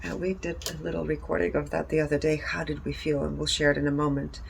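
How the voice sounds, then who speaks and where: Low, creaky-voiced woman presenting. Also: little pitch movement, fast, poor audio. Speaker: presenter reporting energy readings. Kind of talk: presentation reading out findings with personal commentary.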